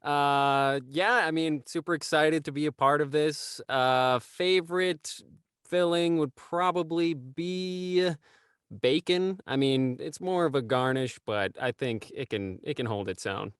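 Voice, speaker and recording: Male actor, smooth resonant voice, casual chat, dry close sound. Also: fairly high pitch, measured pace, a bit bright, no echo. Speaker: actor and audiobook reader. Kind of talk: casual self-introduction.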